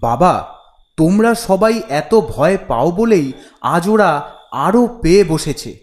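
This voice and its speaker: A man's voice: high voice, expressive, slow, a little mellow. Man playing bold, defiant young village son. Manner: bold, resolute. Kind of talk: animated horror cartoon acting.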